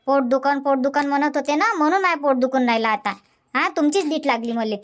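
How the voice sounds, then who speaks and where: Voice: sits high, fast, a bit bright. A voice actor voicing a fussy older village woman with a stomach ache, speaking in a dubbed cartoon comedy, grumbling and self-pitying. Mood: accusing.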